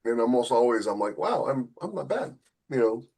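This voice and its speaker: A man's voice: mid-range voice, sing-song, normal speed, darkish tone, breathy edge. A man who writes novels, chatting casually as a podcast co-host in a wry, emphatic, conversational way. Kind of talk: casual podcast chat among authors.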